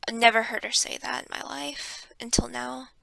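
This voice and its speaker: Young woman vlogger, hushed storytelling, sharp bright tone with gravelly edges, dry close mic. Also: on the high side, flat delivery, normal speed, no room sound. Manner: hushed and reflective, with many ums. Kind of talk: personal storytelling vlog. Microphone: close desk microphone.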